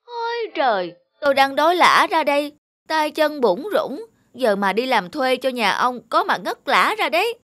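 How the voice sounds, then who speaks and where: Cartoon idler: woman plays a lazy young man, high whiny voice, very animated, self-pitying, music underneath. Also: normal speed. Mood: weary, whining. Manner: plaintive, dramatic exclamations. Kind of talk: cartoon folk-tale dubbing.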